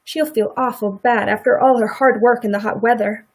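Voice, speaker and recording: A woman in a radio play acting a middle-aged farm wife who is sympathetic, quietly indignant and plain-spoken in a folksy rural way. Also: on the high side, steady tone, normal speed, breathy edge. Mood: wistful.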